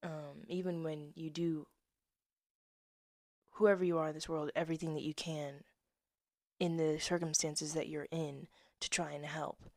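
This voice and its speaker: A woman, reading her old poems and essay aloud with casual asides, soft-spoken and slipping into whispers. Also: low voice, flat delivery, unhurried, pauses often, dry recording, clean studio sound. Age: 20s. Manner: soft-spoken, drifts into whispers, laughs at herself. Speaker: poet reading her own work.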